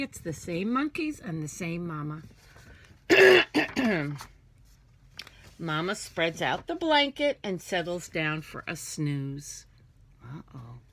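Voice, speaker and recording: Animated, bright-voiced woman reading to children. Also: mid-range voice, gravelly. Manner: theatrical, singsong, drops into hushed whispers. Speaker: child care teacher reading to young children. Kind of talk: animated picture-book read-aloud for young children.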